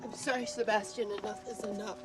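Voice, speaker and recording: A woman's voice: very high voice, sing-song, unhurried, loud music behind the voice, full character voice. A woman playing a wounded, furious movie star in a heated screen drama. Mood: upset, tearful.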